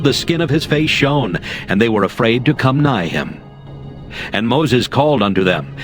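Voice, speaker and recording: A man narrating scripture from a script in a measured, formal manner. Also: mid-range voice.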